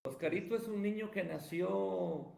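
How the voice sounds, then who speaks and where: Male pastor, high voice, preachy emphatic delivery, roomy echoey sound. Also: unhurried, gravelly, darkish tone. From Guatemala. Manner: emphatic, sermon-like cadence. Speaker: pastor and evangelist, guest. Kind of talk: preaching-style interview answers. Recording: roomy echo.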